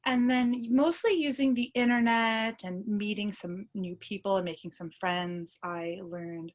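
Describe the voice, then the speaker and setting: A woman with an American accent speaks as a podcast guest. Her voice sits at a medium pitch, and she tells personal stories in a casual, conversational style. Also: measured pace. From United States. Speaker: podcast guest, language learner.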